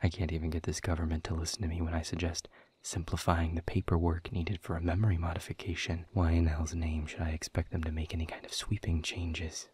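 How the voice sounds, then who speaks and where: A man playing a bitter, world-weary demon in an audio roleplay, speaking in a husky, breathy voice with sardonic, deliberate emphasis. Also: low voice, level tone, darkish tone, a little hiss or hum, natural voice. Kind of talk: scripted audio roleplay monologue.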